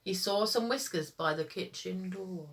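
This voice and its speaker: A woman teacher reading children's stories aloud in an expressive, sing-song storytime style. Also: fairly low pitch, measured pace.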